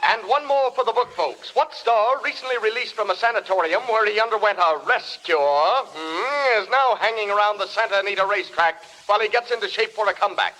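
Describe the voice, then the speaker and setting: An actor playing a snappy, insinuating Hollywood gossip broadcaster in an old radio drama, punchy and knowing. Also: male voice, high voice, brisk, few pauses, lightly acted. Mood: sly, punchy. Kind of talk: 1930s-40s radio gossip patter. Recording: old radio recording, faint noise.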